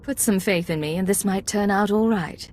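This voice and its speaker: A woman's voice: mid-range voice, normal speed, a little mellow, lightly acted. A woman playing a cool female secret agent in a video game, terse and controlled. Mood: probing, controlled. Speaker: game character voice actor. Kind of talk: video game dialogue acting.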